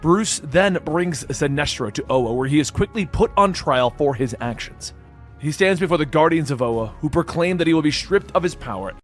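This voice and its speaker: A man's voice: a little high, animated, moderate pace. A man reading a comic book story as narrator, in a dramatic scripted style. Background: background music.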